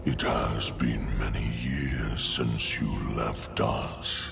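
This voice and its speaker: A man voicing an ancient, grave undead elder of the crypts in a video game, with a gravelly, rumbling voice. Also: steady tone, slow, music in the background, heavily acted. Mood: grave, ominous.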